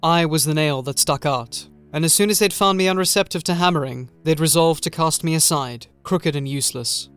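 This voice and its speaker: A man narrating a first-person horror audiobook in a measured, literary, brooding manner. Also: fairly high pitch, a bit bright, smooth, soft background music.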